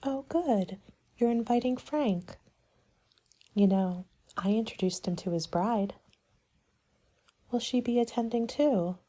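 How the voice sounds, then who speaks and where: A woman speaking softly in an ASMR roleplay as a party planning agent, polite and measured, sometimes slipping toward a whisper. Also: a little high. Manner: polite, measured, businesslike consultant patter. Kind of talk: soft-spoken ASMR roleplay, partly whispered.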